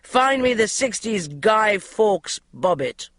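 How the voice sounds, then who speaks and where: Woman reader as brusque shouting male boss, dry close sound. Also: medium pitch, very animated, slow pace, no echo, voice pushed up. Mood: bellowing. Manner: yelling, brusque and crude.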